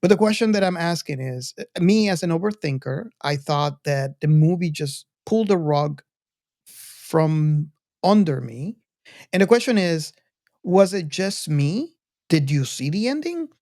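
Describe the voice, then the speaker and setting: A podcast host talking casually about film, with a halting, hesitant way of speaking. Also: medium pitch, animated, a little mellow, dry recording, clean studio sound.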